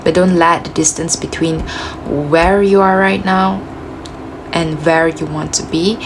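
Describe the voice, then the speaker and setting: A woman with a gravelly voice giving a casual, reflective self-help talk, full of "you know" and "like", her voice cracking as she loses it. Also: fairly low pitch, fairly even intonation, a little slow, noisy background. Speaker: motivational speaker.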